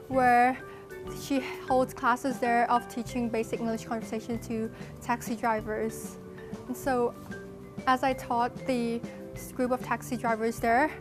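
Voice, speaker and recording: Young woman giving an earnest speech. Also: on the high side. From Thailand. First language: Thai. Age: teens to young adult. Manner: earnest, steady delivery with small self-corrections. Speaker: student speaker at a charity art exhibition. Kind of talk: prepared public speech.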